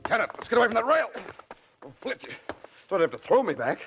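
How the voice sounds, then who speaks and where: An actor playing a naive, easily shocked young nephew in a 1940s radio drama, incredulous and breathless. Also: male voice, sits high, very animated, fast, creaky, a bit bright, highs cut off, heavily acted. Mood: alarmed. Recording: old 1940s radio recording, music behind.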